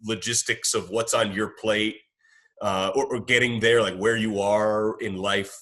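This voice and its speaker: A man's voice: on the low side, fairly even intonation, unhurried, bright, forceful tone. An American man hosting a casual sports chat, asking questions in a rambling, conversational way. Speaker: interviewer, host.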